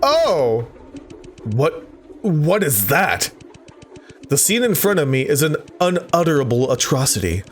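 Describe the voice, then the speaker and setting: A man's voice: a little high, sing-song, unhurried, pauses often, a little hiss or hum. A man doing a let's play, dramatically reading visual novel text aloud and breaking off for joking asides. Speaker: gaming video host.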